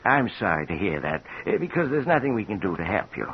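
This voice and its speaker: An actor playing a hesitant, coolly polite loan officer in 1950s radio drama style, on a muffled, hissy old recording. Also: male voice, lowish voice, very animated, quick pace, breathy edge, full character voice. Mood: politely dismissive. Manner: hesitant, hemming and hawing.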